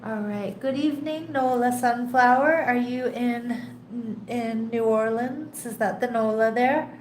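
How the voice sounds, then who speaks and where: A woman's voice: on the high side, a little mellow. Stuffy nasal lady doing a tarot livestream, takes her time, chatty, echoey room. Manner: chatty and unhurried, laughs often, greets viewers by name. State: congested, a little nasal. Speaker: tarot reader hosting a live stream. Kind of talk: casual live-stream chat. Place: home in a rainy tropical place. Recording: echoey room, faint background noise.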